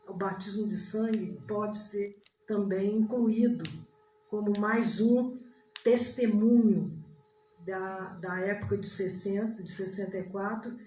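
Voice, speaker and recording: Brazilian woman, slow speech, far from the mic, echoey hall, loud background noise. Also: medium pitch. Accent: Brazilian Portuguese. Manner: slow, searching for words. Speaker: audience member. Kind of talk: public comment at a debate. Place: event hall.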